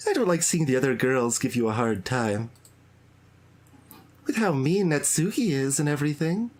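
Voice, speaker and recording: A man voicing an earnest, clingy teenage schoolgirl from a visual novel, gentle and confiding in a soft, lifted voice. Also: medium pitch, animated, moderate pace, lightly acted.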